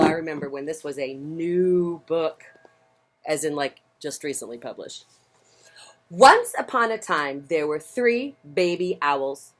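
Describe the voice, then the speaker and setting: A woman hosting a children's story time, reading picture books aloud in a theatrical, emphatic way with swells and hushes. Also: fairly low pitch. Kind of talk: expressive picture-book read-aloud. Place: outdoors on a porch.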